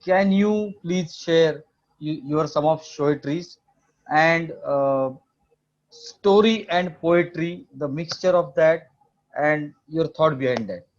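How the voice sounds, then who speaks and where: A man's voice: a little high, slow. A man asking questions in a casual interview. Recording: dull lo-fi audio.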